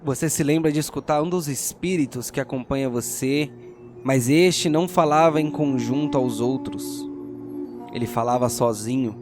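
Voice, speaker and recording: A man with a Brazilian accent hosting and narrating a tabletop RPG audio drama as game master, in a theatrical, deliberate storyteller's manner. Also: mid-range voice. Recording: music playing behind the voice. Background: music.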